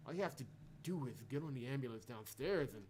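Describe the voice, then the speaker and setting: A man's voice: medium pitch, expressive, fast, darkish tone, a little hiss or hum, voice pushed up, close to an everyday voice. A man playing a puzzled, easygoing amnesiac game protagonist with a questioning, exasperated delivery. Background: game music behind the voice.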